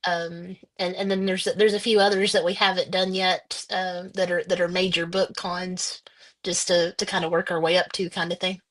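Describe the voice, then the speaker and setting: A woman, an author, answering interview questions casually and hesitantly, with many pauses and restarts. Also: fairly low pitch, little pitch movement, fairly bright tone. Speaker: author guest.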